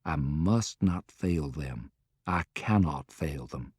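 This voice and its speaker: Grave male general for audiobook, acted by a male narrator: hushed, low, slow, weary but resolute. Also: expressive, slightly rough, no room sound, clean studio sound, heavily acted. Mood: solemn resolve.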